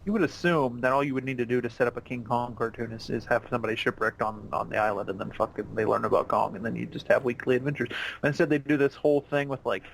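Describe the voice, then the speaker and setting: A man's voice: medium pitch, steady tone, fast, vocal fry, hardly any pauses, slight background noise, poor audio. A man co-hosting a casual cartoon commentary, chatting in an informal, somewhat hesitant way. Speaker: commentary co-host.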